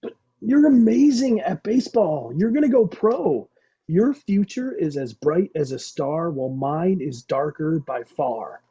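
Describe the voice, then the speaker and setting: A goofy young slacker played by a man in a sitcom read, husky and mellow. He drawls his lines with melodramatic swings, on slightly dull online audio. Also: a little high, lively intonation, a little slow. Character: dim, dramatic slacker young man, soon a dad. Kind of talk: comedy script table read.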